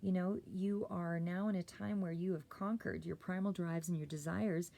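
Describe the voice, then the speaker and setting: Hoarse, gravelly woman reading tarot. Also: fairly low pitch, fairly even intonation, normal speed, warm tone. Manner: rambling, many fillers and self-corrections. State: hoarse, clearing throat. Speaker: tarot reader. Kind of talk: casual tarot reading monologue.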